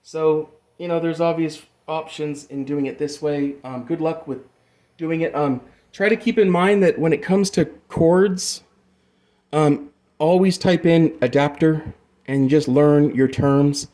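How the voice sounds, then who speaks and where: Mellow-voiced man rambling through a tutorial. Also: a little high, fairly even intonation, unhurried. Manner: rambling, loosely explanatory, profane. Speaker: amateur music gear demonstrator. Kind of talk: casual hands-on tutorial chat.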